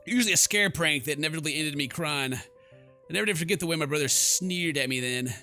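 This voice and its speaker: A man's voice: a little high, fairly quick, bright, forceful tone, faint music behind. A man doing jokey, bro-ish game commentary and expressively reading story text aloud as a let's player.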